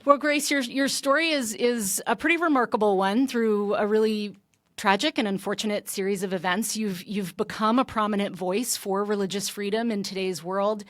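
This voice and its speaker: A woman's voice: medium pitch, moderate pace, a bit bright, dry recording, studio quality. A woman guest-hosting a formal interview podcast, speaking in an earnest, articulate and polished way. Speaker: guest host.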